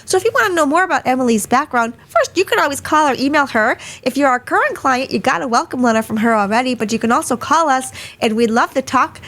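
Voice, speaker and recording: A woman with a high voice hosts a live webinar from a small studio. She sounds a little hoarse from a cold. She talks in a chatty way and greets attendees one after another. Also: expressive, quick pace, hardly any pauses, a bit bright. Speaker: webinar host. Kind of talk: casual live webinar hosting. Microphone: studio mic.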